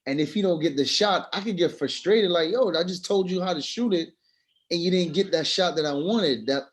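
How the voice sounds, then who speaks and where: A man's voice: sits high, brisk. A man in a casual group discussion, speaking informally and asking questions as a student of film.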